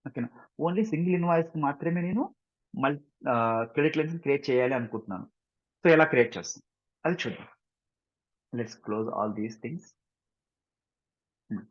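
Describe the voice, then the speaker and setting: A man with a Telugu Indian accent walks learners through software in an instructional way, switching between two languages. His voice is husky and sits at a medium pitch. The recording is dull and lo-fi, as from a screen capture. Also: no echo. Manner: instructional, repeatedly checking understanding with short questions. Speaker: software trainer. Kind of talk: step-by-step screen-share tutorial, mixing two languages.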